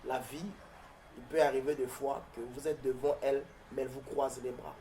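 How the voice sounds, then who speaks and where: A man from southern Congo-Brazzaville, with Mbembe as his first language, speaks in a declamatory, preacherly way, pausing on hesitant fillers. His voice is mellow and sits at an average pitch. A faint noise hangs behind it. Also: little pitch movement. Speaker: pastor and guest. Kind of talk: interview answers turning to a prayer.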